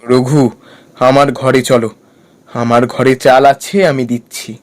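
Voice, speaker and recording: A man's voice: mid-range voice, level tone, takes it slowly, close to an everyday voice. A man voicing a concerned village husband in a cartoon story, speaking earnestly. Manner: earnest, reasoning.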